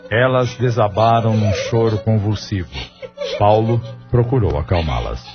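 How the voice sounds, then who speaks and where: A man's voice: fairly low pitch, a little slow. A Brazilian man narrating a sentimental audio novel in a solemn, scripted style. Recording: dull lo-fi audio under music.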